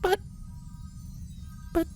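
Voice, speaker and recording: A woman voicing an exasperated, scheming young female unicorn pony who mutters to herself in a storybook reading. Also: soft background music. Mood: bewildered. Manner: flustered, muttering to herself, drawn-out expressive delivery. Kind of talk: acted storybook dialogue in a fan fiction reading.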